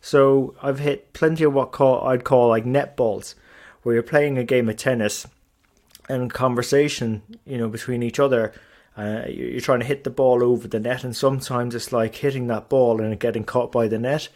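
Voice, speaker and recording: A man's voice: mid-range voice, steady tone, moderate pace, darkish tone. A middle-aged man from Ireland and England, hosting a solo podcast in a chatty, self-deprecating way with many fillers and restarts. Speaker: podcast host.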